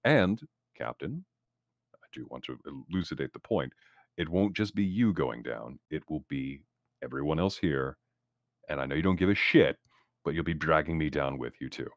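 A man roleplaying a calculating corporate representative, talking in wordy, formal, deliberate phrases as he improvises. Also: a bass voice, expressive, no room sound, clean studio sound. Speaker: tabletop game player. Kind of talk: in-character improvised roleplay dialogue.

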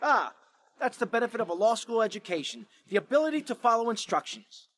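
Brash, high-pitched crime boss. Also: male voice, very animated, a little fast, a bit bright, heavily acted. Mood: bossy, scornful. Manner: curt, sardonic, commanding. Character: brash, bossy, sarcastic male crime boss. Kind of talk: video game cutscene acting.

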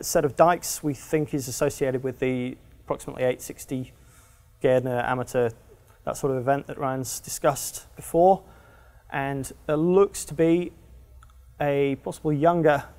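A man, presenting geology at a lectern in a conference room, halting with many fillers and restarts. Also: mid-range voice, a little mellow. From Australia. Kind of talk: technical conference talk. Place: conference room with projector screen. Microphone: lectern microphone.

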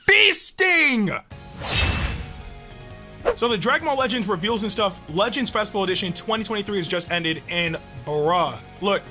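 A young man hosting a gaming video, reacting to a trailer with hype, slang and yelling, speaking on a headset in a small home gaming room. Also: sits high. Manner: hyped, yelling and laughing, slang-heavy. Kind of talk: excited gameplay reaction.

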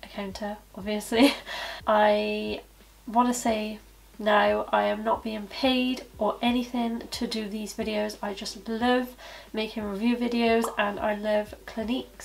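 A young woman reviewing lipsticks to camera in a chatty way, her voice a little croaky from hay fever, often saying obviously and so yeah. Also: fairly high pitch, rough recording. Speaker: beauty vlogger and cosmetics consultant. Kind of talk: casual product review to camera. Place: small indoor studio with plain backdrop. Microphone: camera at head-and-shoulders framing.

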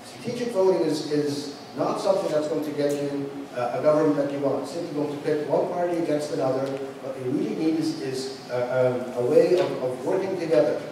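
A man's voice: fairly high pitch, fairly quick, hardly any pauses. A middle-aged man, a political candidate, giving an earnest closing statement at a debate into a handheld mic in a large banquet hall. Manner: earnest, urging, repeats phrases. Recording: echoey hall from far off, background noise.

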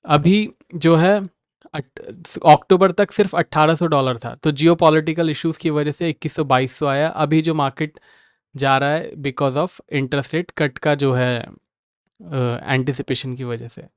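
A man's voice: on the high side, steady tone, a little slow, smooth, no room sound, studio quality. A man with an Indian accent hosting a live market stream, answering viewers by name in a mix of Hindi and English. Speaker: market analyst host.

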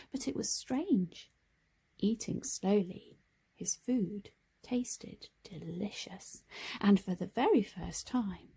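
A woman narrating a children's picture book, expressive and deliberate in her phrasing. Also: medium pitch, gravelly, breathy edge. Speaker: storybook reader. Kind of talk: scripted children's story narration.

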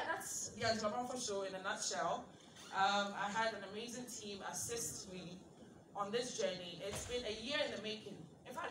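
A woman from Ghana in her thirties, a TV show host giving an animated speech to an audience, with rhetorical questions and laughing asides. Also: fairly high pitch. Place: large indoor event space. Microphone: handheld mic, recorded from far in the audience. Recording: distant echoey room recording with ambient noise. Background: crowd ambience.

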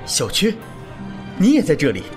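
Man playing an earnest, expressive young noble swordsman. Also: on the high side, normal speed, music in the background. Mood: warm, earnest. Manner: earnest, admonishing. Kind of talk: Chinese wuxia audio drama acting.

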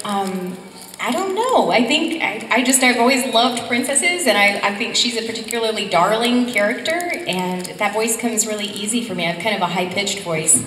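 Gushing woman with a bright voice. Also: medium pitch, lively intonation, normal speed, a little hiss or hum. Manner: warm-hearted, gushing, giggly. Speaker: voice actress on a convention panel. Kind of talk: casual panel talk. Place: large convention hall stage. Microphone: table mic. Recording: echoey hall recorded from far off.

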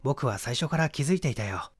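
A man's voice: mid-range voice, lively intonation, fairly quick, husky, no room sound, studio quality. A man voicing an eager, self-assured young amateur detective in a storybook reading, confident and explanatory.

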